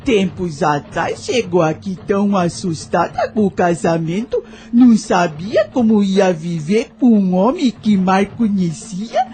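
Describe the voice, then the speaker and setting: Slow, mellow motherly old nanny played by an actress. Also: medium pitch, animated, faint music behind, natural voice. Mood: tender, cheerful. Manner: old-fashioned rustic speech, consoling. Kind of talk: audio drama acting.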